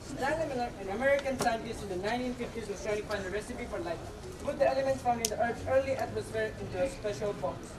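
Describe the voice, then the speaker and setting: A woman's voice: fairly high pitch, fast talker, natural voice. A woman in an amateur school play acting a pupil who reads a textbook aloud in class. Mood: studious. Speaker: student actor in a school play. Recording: distant stage microphone.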